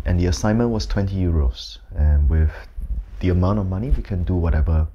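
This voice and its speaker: A man in his thirties from Malaysia, a photographer, answering interview questions indoors in a measured, thoughtful way with frequent ums. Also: low voice, warm tone, breathy edge, lo-fi sound. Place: indoors, plain-walled room.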